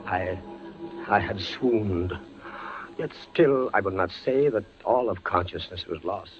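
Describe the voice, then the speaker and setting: An actor playing a young army captain condemned by the Inquisition, speaking in an emotional, halting way in 1940s radio style. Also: male voice, low voice, music in the background. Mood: hushed narration. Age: young adult. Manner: emotional, broken, halting delivery. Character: young male captain facing execution, defiant then terrified. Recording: dull old radio broadcast with hiss.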